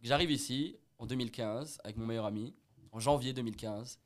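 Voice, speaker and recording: A man's voice: mid-range voice, a little fast. A man in his twenties from Morocco with a light Moroccan French accent, telling stories as a guest in a casual chat, full of slang and English swear words. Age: 20s. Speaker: podcast guest.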